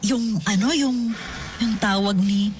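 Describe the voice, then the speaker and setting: A middle-aged woman playing a warm, teasing mother in a radio drama, chatty and affectionate with her son. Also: mid-range voice, takes it slowly, slight background noise, lightly acted. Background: music.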